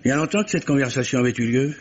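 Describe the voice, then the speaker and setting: An actor playing a dogged, polite but insinuating police commissioner who probes with stammering courtesy, in vintage French radio mystery style. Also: male voice, medium pitch, racing, natural voice. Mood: probing, insinuating. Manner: hesitant stammering courtesy, probing. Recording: dull vintage radio recording, faint hiss.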